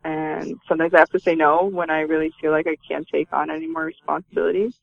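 A woman's voice: deep voice, flat delivery, fast, vocal fry. A woman, giving conversational answers as an interview guest. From Romania and Canada. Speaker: interview guest, magazine publisher. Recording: dull lo-fi line, roomy sound.

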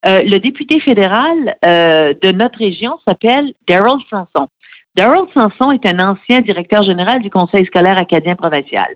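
A woman's voice: medium pitch, expressive, normal speed, no room sound. Middle-aged woman, earnest explainer, lots of ums, dullish dry audio. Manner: earnest, explanatory, with frequent hesitations. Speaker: radio guest, head of a francophone federation. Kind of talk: radio interview answers. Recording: slightly muffled, dry recording.

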